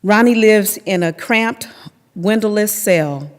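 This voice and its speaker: A woman's voice: medium pitch, slow. A middle-aged woman reading a scripted personal testimony in a readers theater. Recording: echoey hall reverb.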